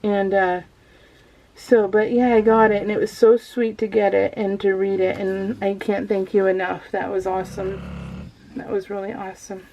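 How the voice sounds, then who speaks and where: A woman's voice: medium pitch, flat delivery, moderate pace, a little mellow. A woman hosting a casual craft vlog, chatting off the cuff in a rambling, gushing way and often interrupting herself. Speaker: craft video host. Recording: dull lo-fi home recording, faint noise.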